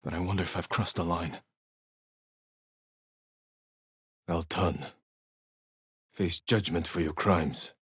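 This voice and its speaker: An actor playing a solemn, resolute samurai warrior in a video game, speaking in a measured, earnest, formal way. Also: male voice, low-pitched, fairly even intonation, fairly bright tone, husky, dry recording, studio quality, heavily acted. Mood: quiet menace. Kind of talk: dramatic video game voice acting.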